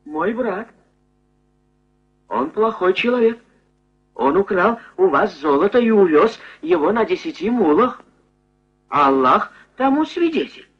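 A man voicing a greedy, treacherous merchant in a puppet fairy-tale film, with shrill, frantic exclamations. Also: big pitch swings, measured pace, long pauses, fairly bright tone, heavily acted. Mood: eager, scheming. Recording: muffled old film soundtrack.

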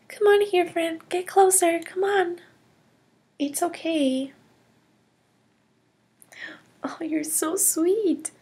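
A woman voicing a curious, cheerful girl in a toy play story, full of gasps and hushed whispers. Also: very high voice, steady tone, takes it slowly. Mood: amazed. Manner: gasping, whispering wonder.

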